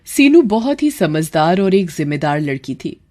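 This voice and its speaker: A woman's voice: on the low side, sing-song, normal speed, dark tone. A woman narrating a scripted story as storyteller.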